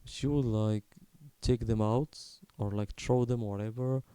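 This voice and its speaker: A man in his twenties from Morocco tells a personal story unscripted, in a halting, candid, casually sweary way. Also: medium pitch, fairly even intonation, warm tone, smooth, no room sound. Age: 20s. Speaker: video creator telling his life story.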